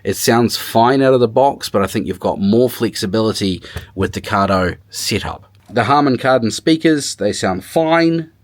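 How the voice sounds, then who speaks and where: Low-voiced man, casual gear reviewer. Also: animated, moderate pace. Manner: informal, thinking aloud. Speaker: motorcycle tech reviewer. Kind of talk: casual hands-on product demo and review.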